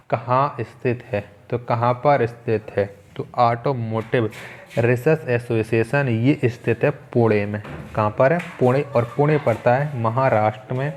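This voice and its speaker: A man's voice: medium pitch, a little mellow, a little hiss or hum. Man teaching, methodical quiz delivery. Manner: methodical, repeats key answers with rhetorical questions. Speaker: exam coaching teacher. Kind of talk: quiz lesson, reading questions and explaining answers.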